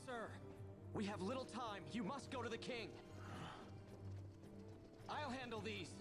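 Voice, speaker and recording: An actor playing an earnest, worried young soldier in a video game. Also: male voice, extremely high pitch, unhurried. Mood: urgent. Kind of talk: video game drama acting. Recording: loud game music over voice.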